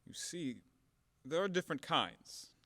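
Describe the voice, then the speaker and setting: An actor playing a brash, hot-tempered, blunt creditor in an audio play of a classic farce, with a blustering, theatrical, emphatic manner. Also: male voice, fairly high pitch, big pitch swings, unhurried, sharp tone, no echo, long reverb tail, heavily acted. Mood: smitten, softening.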